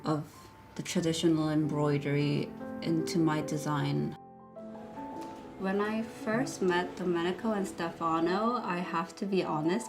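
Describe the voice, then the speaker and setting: A young fashion designer from South Korea talks in a low, even voice. She speaks slowly and thoughtfully, and music plays clearly underneath her. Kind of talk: documentary interview.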